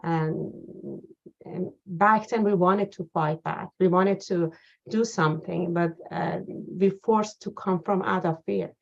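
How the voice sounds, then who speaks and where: A woman guest in an interview, telling a personal account slowly with many pauses and fillers. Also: lowish voice, steady tone, vocal fry. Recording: dull lo-fi sound.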